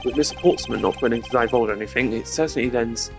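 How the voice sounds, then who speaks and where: A man voicing a cool, formal prosecutor from a video game, speaking in a measured, pompous way. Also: medium pitch, close to an everyday voice. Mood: composed, pondering. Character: cool, formal male prosecutor with deductive mind. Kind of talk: amateur video game voice-over. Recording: loud music under the voice.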